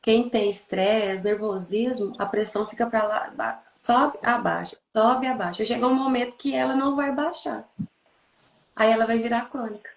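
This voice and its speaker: Brazilian female, 30s, therapist-host. Slightly high pitch, didactic, repeats for emphasis, roomy echo. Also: steady tone, moderate pace, vocal fry, slight background noise. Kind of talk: explanatory podcast conversation. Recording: echoey, roomy sound.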